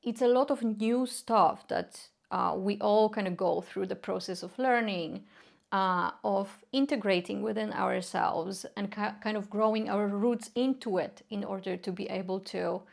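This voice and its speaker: Polish-accented young woman, earnest, halting fillers, mid-range voice, casual explainer. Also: mid-range voice, creaky. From Poland. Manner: earnest, frequent filler sounds. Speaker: folk magic educator. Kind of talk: casual explanatory talk to camera.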